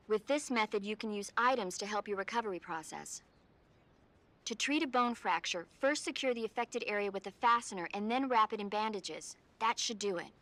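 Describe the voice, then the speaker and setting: An actress playing a medical advisor on the radio, calmly and patiently talking an injured agent through treating his wounds. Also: medium pitch, close to an everyday voice. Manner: calm, patient, instructive. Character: calm female field medic on radio. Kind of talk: video game cutscene acting.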